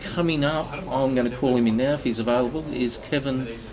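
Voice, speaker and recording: A man hosting a livestream, reading a casual news roundup and chatting with guests in a relaxed way, full of ums and uhs. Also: medium pitch, a little fast, dull audio. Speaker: livestream host. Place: busy coffee shop. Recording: café crowd chatter behind.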